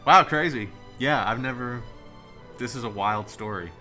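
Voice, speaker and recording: A man's voice: mid-range voice, normal speed, pushed and bright. A man co-hosting a podcast in casual chat, slangy and joking. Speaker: podcast co-host. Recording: music playing behind the voice. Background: music.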